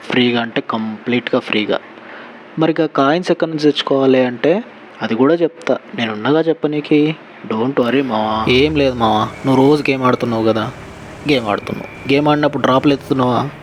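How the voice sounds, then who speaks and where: Fast, chummy man with Telangana accent. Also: mid-range voice, slight background noise, rough recording. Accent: Telangana Telugu. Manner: chummy, addresses viewer as buddy. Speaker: gaming YouTuber. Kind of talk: casual gaming tips commentary.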